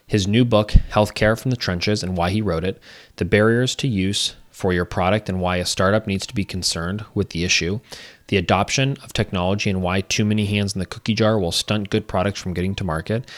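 A male podcast host with a low voice. He reads an opening script, then talks with his guest in a hesitant way, full of fillers. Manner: hesitant, many fillers in talk. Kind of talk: scripted intro, then casual interview.

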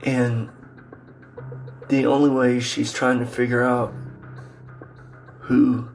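A man hosting a podcast and introducing episodes, speaking haltingly in short broken phrases. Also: medium pitch, flat delivery, faint music behind. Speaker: podcast host.

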